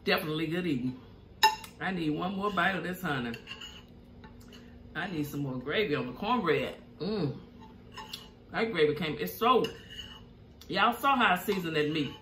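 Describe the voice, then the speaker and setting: A woman's voice: fairly low pitch, normal speed, long pauses, a bit bright, echoey room, slight background noise. A middle-aged woman with a Southern US accent hosting an eating show at home, humming over her food between chatty remarks and reading viewer comments aloud. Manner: chatty, savoring food with hums between bites. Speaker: mukbang host. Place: home room at a table.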